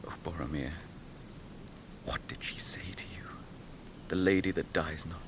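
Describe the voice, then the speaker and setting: An actor playing a grave, noble young captain grieving his brother, in measured, archaic speech for a fantasy radio drama. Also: sits low, whispered. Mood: grieving, brooding.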